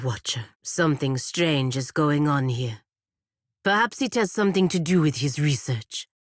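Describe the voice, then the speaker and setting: A proud, dutiful warrior woman in a fantasy game, her voice low and close in a dry space. She speaks, formal and measured, with a sharp, disdainful edge. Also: no room sound. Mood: concerned, wary. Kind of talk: scripted fantasy video game dialogue.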